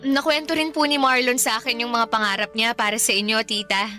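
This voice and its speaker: An actress playing a polite, dutiful young student in a radio drama, respectful and sweet-mannered, with a Filipino accent. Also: female voice, a little high, fairly even intonation, fairly quick, bright, forceful tone, soft background music. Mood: earnest, respectful. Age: young adult.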